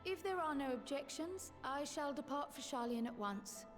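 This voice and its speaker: A woman voicing an earnest, resolute young female scholar in a fantasy video game, speaking in a formal, measured, articulate way. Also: sits high, lively intonation, soft background music.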